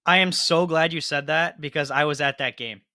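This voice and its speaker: Male interviewer, American accent, bright forceful voice, slightly high pitch, enthusiastic, casual, dry close sound. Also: fairly even intonation, moderate pace, dry recording. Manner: enthusiastic, fan-like. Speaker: interviewer, host.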